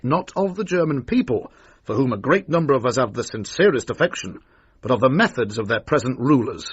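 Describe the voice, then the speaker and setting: An actor playing an earnest, indignant gentleman formally reading a letter in a husky voice. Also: medium pitch, no echo. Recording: dull, dry radio sound.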